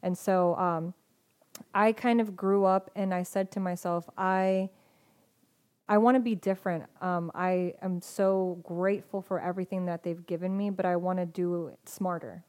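Woman, podcast guest, casual talk, slightly low voice, hesitant, lots of fillers. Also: steady tone, normal speed, darkish tone. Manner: hesitant, many fillers and restarts. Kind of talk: casual conversation.

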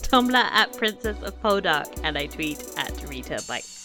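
A woman's voice: low voice, big pitch swings, a little slow, bright, forceful tone, faint music behind. A woman from England co-hosting a podcast in a casual, chatty way, laughing and swearing now and then. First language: not French. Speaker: podcast co-host. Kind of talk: casual chat.

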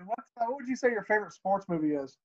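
A man hosting a podcast, asking casual questions in a relaxed, encouraging way. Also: high voice. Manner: relaxed, encouraging, quick to laugh along. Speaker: podcast host. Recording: dull, muffled audio.

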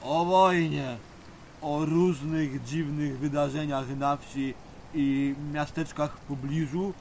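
An actor playing an older village uncle telling folksy, dramatic memories and ghost tales in an audio drama. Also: male voice, a little high, steady tone, takes it slowly, a little mellow, slight background noise. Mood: wistful, ominous. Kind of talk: audio drama acting, reminiscing narration.

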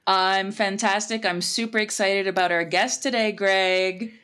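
A woman's voice: mid-range voice, steady tone, a little slow, fairly bright tone, clean tone. A woman co-hosting a casual podcast, eager and conversational as she chats and tells a story about the guest. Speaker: podcast co-host.

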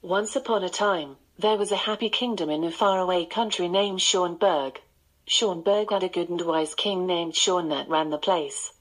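A woman's voice: fairly low pitch, moderate pace, poor audio. A synthetic woman's voice of a voice assistant, narrating bedtime stories.

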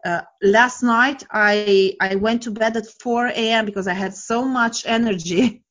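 A woman's voice: a little high, steady tone, unhurried, fairly bright tone, lo-fi sound. A woman in her forties, a guest coach telling stories earnestly and enthusiastically in a casual interview. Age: 40s.

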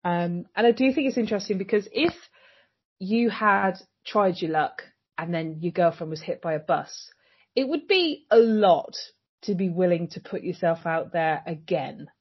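A woman's voice: lowish voice, moderate pace, slightly rough. A woman co-hosting a podcast, chatting casually about a TV episode and acting out lines from it. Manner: animated, acts out quoted lines. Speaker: podcast co-host. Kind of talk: casual chat recapping a TV episode. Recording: dull lo-fi sound.

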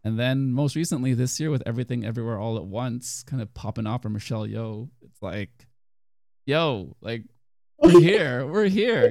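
Young guy hosting, gravelly voice, teasing, lots of ums, dry sound. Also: mid-range voice, a little mellow, no room sound. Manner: teasing, rambling with fillers. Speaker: podcast host. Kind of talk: casual chat.

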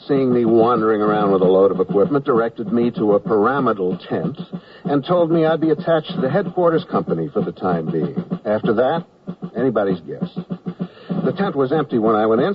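An actor playing a polite, hapless newly drafted war correspondent, narrating and acting in a wry radio comedy. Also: male voice, mid-range voice, close to an everyday voice. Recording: dull, noisy radio recording.

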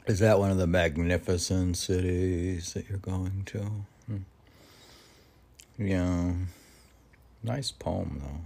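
A man with a very low, gravelly voice hosts a poetry reading. He moves between verse and rambling casual remarks. His musing is halting and unhurried, often questioning himself. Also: fairly even intonation, a little mellow. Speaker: podcast host reading poetry.

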